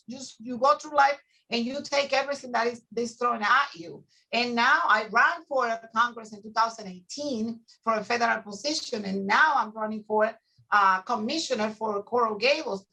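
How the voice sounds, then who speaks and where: Earnest lady lawyer, 2nd language english, trips over words, bright voice. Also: a little high, rough recording. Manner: earnest, repeats words while finding them. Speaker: guest, immigration attorney. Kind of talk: casual panel talk.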